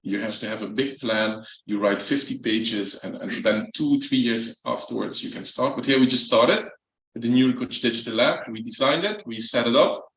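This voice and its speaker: A man from the Netherlands with a Dutch accent, giving a relaxed, chatty speech as a company executive at a launch event. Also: medium pitch, normal speed. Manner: relaxed, chatty, light jokes. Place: event room. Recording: echoey hall.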